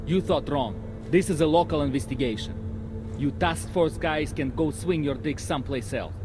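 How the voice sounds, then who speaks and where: An actor playing a hostile, territorial police officer in a video game, curt and dismissive. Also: male voice, on the high side, normal speed, noisy background, natural voice. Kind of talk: video game cutscene acting.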